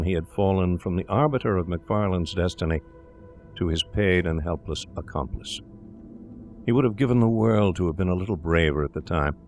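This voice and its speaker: A man narrating a scripted gothic story in a measured, solemn, literary manner. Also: low voice, darkish tone, a little hiss or hum.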